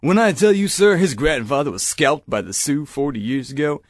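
A man's voice: fairly high pitch, animated, moderate pace, no room sound, clean studio sound. A man playing a pompous, bumbling 1930s hotel detective in an audio drama, rattling off deductions. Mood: cocksure.

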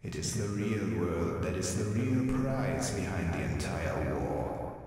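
A man voicing a menacing ancient villain overlord in a fan dub, speaking in a deep, booming voice, slow and commanding. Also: animated, a little mellow, full character voice. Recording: heavy echoing hall reverb.

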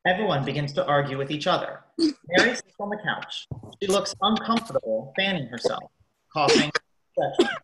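A woman's voice: fairly low pitch, huge pitch swings, normal speed, roomy sound, very noisy. A woman narrating a radio play, reading out scripted stage directions.

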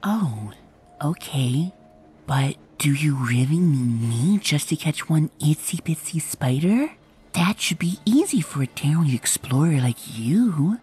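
A woman voicing a timid, gentle young female pony in a comic dub, talking softly and hesitantly with a breathy, whispery voice. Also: low-pitched, animated, takes it slowly, pitched below the performer's own voice, full character voice. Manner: hesitant, shy, nervous.

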